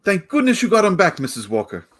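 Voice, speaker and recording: A man's voice: sits high, huge pitch swings, fast, a bit bright, exaggerated voice. A man acting a fussy, placating department store manager in a 1940s-style radio play, chatty and fretful.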